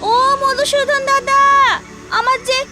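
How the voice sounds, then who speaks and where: A woman's voice: extremely high pitch, fairly even intonation, slow pace, sharp tone, soft background music, exaggerated voice. A woman voicing a small, trusting, timid schoolboy in a children's cartoon, childlike and inquisitive. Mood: frightened, pleading.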